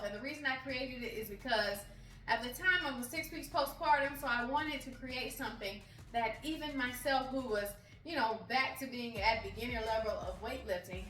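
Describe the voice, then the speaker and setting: A woman's voice: on the high side, bright, forceful tone. Upbeat woman in her forties vlogging. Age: 40s. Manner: encouraging, keeps checking in with "okay?". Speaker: fitness vlogger. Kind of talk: motivational fitness vlog. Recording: echoey room, voice from a distance, music behind.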